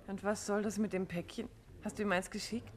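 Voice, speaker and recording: A playful, curious wife, played by a woman in an old radio play. She asks question after question in a bright, teasing way and speaks at a mid pitch. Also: flat delivery, fairly quick, slight background noise, lightly acted. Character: Steve, detective's witty wife. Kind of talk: 1950s radio drama acting.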